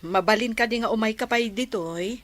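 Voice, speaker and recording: A woman playing a frail, gentle mother who is losing her sight in a Filipino radio drama, speaking with a Filipino accent. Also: on the high side, moderate pace, natural voice. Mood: gentle, weary.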